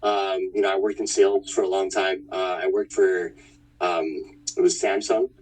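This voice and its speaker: Easygoing guy in his 30s from winnipeg, dark mellow voice, rambles a bit, sounds far from the mic. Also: sits low, little pitch movement, moderate pace. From Winnipeg, Manitoba, Canada. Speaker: fighter, interview guest. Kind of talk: casual interview chat.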